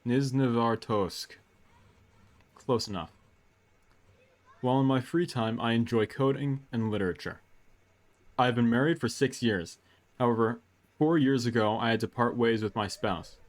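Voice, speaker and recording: A man doing low-key, casual game commentary and reading text aloud, sometimes halting over unfamiliar words. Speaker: gaming video host.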